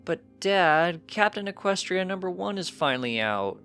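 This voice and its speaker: A man's voice: high-pitched, big pitch swings, normal speed, a bit bright, smooth, faint music behind, voice pushed up, heavily acted. A man voicing a cheerful, earnest young colt in a children's-fantasy style story reading. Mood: eager. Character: cheerful young colt, pony boy and son.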